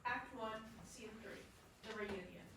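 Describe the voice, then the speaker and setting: A woman's voice: mid-range voice, a little slow, a bit bright. A woman reading stage directions as scripted narration. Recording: very echoey, distant, clear noise.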